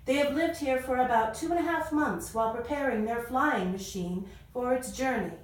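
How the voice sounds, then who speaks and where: A woman's voice: sits high, normal speed. A woman reading scripted storytelling narration as the narrator of a radio play. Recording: roomy echo, distant mic, music behind.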